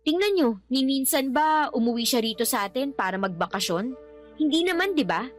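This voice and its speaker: An actress with a Filipino accent playing a resentful, outspoken grown daughter in a radio drama, exasperated and complaining. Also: female voice, high voice, lively intonation, fairly quick, soft background music.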